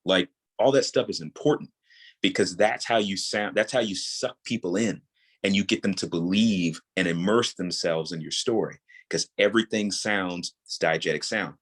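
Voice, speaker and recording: A man's voice: deep voice, moderate pace, a bit bright, slightly rough. A man in his thirties speaking as a guest in a casual interview, explaining his creative craft and repeating points for emphasis. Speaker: audio drama creator, podcast guest.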